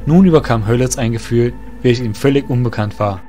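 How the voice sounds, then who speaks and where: A man narrates a fantasy audio drama in a steady, midrange voice with a measured storytelling pace. Faint music plays underneath. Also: medium pitch.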